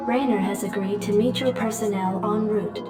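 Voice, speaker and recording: A woman voicing a calm, formal military computer assistant with a synthetic filter, briefing the player in a video game. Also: mid-range voice. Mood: impassive, formal. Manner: measured, formal, impassive. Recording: echoey, distant, loud music underneath.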